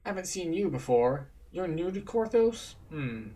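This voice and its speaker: A man's voice: a little high, big pitch swings, a little slow, warm tone, voice pushed up. A man voicing a grim, curt man guarding a crypt in a fantasy game. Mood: wary.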